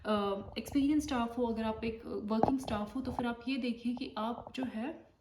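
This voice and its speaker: A woman's voice: a little high, steady tone, normal speed, distant mic. A woman from India, a nurse, giving a casual spoken tutorial and often checking in with her listeners as she explains. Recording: echoey room, voice off-mic, faint noise.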